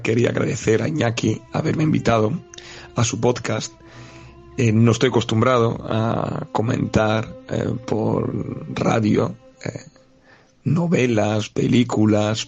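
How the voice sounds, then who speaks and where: Italian-accented guy, kinda gravelly, flat low voice, hesitant with lots of ums. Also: highs cut off, soft background music. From Italy. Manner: hesitant, with many pauses and fillers. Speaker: guest commentator, philosophy teacher. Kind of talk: casual spoken commentary.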